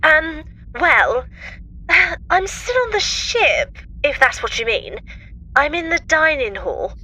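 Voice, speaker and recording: A woman's voice: sits high, sing-song, takes it slowly, sharp tone, slight background noise, heavily acted, rough recording. A woman acting in an audio drama as a plucky, exclamatory young companion to a time traveller. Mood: plucky, uneasy.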